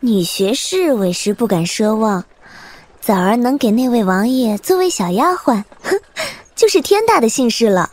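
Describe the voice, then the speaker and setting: A pampered young noblewoman of wuxia audio drama, with a high, husky voice. She is coy and girlish, haughty underneath, and swings through lively intonation. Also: normal speed. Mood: coy, giggly. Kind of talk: Chinese audio drama acting.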